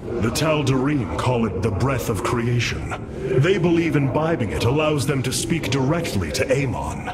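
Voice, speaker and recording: An actor playing a noble, resolute young alien leader in a video game, speaking in a stern, earnest, formal way. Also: male voice, medium pitch, very animated, normal speed, lightly acted. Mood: grave, resolute. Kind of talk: dramatic video game cutscene acting. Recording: loud music under the voice.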